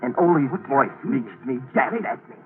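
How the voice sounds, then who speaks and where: Actor as an intense, unsettled radio-drama confessor, wildly swooping voice. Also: male voice, medium pitch, animated, normal speed, narrow, tinny audio, close to an everyday voice. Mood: feverish. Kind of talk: 1940s radio acting, dramatic narration. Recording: scratchy, phone-thin 1940s radio broadcast.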